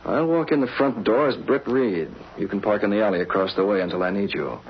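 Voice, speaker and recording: An actor playing a calm, resolute crime-fighting hero in a 1940s radio drama, measured and decisive. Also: male voice, lowish voice, lightly acted. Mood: decisive, planning. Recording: muffled, hissy 1940s radio recording.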